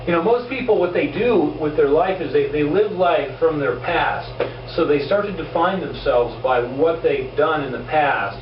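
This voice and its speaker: A man's voice: a little high, normal speed. A man hosting his own self-improvement show, talking earnestly in a casual motivational monologue while losing his voice, often repeating words and restarting. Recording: roomy, distant, dull and noisy.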